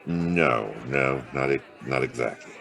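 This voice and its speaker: An American actor playing a world-weary, hard-boiled private detective with a soft streak in a noir audio drama, gravelly and laconic. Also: male voice, rumbling low, measured pace, faint music behind, heavily acted. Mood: awkward, kind. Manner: laconic, colloquial tough-guy delivery. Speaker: actor playing the lead and narrator. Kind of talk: noir audio drama dialogue and first-person narration.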